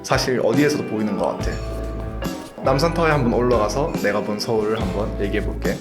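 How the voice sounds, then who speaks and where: A man's voice: on the low side, fast talker. A young man in his twenties from the south of Korea, hosting and narrating his own story in a chatty, informal way. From South Gyeongsang, Korea. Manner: chatty, informal, animated intonation. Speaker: host and narrator. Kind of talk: casual first-person vlog narration. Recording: echoey with music behind.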